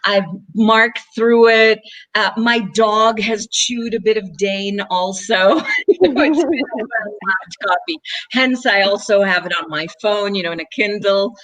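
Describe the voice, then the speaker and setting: A woman from Israel co-hosting a casual online interview over a video call, bubbly and quick to laugh, often restarting her sentences. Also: mid-range voice, a bit bright, low-quality recording. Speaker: co-host.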